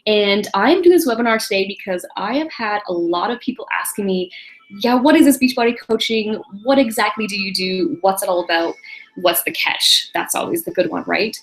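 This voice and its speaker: A woman in her late thirties hosting a casual online webinar alone, chatty and self-deprecating, with quick laughs and apologies. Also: medium pitch, faint music behind, low-quality recording. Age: 30s. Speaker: webinar host. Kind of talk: casual solo webinar presentation.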